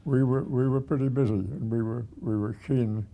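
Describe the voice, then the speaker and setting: A man of about a hundred with a husky, aged voice, reminiscing haltingly as a retired museum director. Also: medium pitch, fairly even intonation, dark tone. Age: 100. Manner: halting, with repeated words and pauses.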